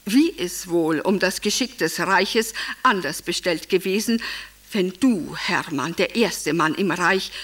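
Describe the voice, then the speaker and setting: A high-voiced, hysterically devoted wife of the Nazi era, delivers a theatrical monologue. She is a vain former stage star who declaims melodramatically. Her phrases are drawn out and unhurried.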